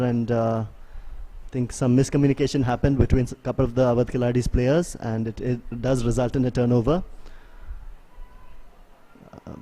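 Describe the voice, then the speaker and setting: Mellow man calling a match. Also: medium pitch, poor audio. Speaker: sports commentator. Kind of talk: live play-by-play commentary of an ultimate frisbee match.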